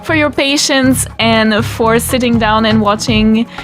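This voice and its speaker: Young quebecois woman, french first language, bubbly gaming commentary, higher voice. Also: a little slow. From Quebec, Canada. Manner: chatty, upbeat, excited. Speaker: gaming YouTuber. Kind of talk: pre-recorded let's-play commentary. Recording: game music playing behind.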